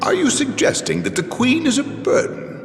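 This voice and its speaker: A man playing a small, loyal, earnest and suspicious royal attendant with a creaky voice in a video game. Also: extremely high pitch, sing-song, normal speed, exaggerated voice. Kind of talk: anime-style video game voice acting. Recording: echoey reverberant hall, loud music behind.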